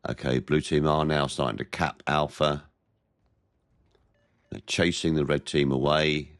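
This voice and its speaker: A man's voice: rumbling low, little pitch movement, no echo, clean studio sound. Nasal man with a head cold. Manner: measured, plain-spoken play-by-play. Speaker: gameplay commentator. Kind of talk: naval game commentary.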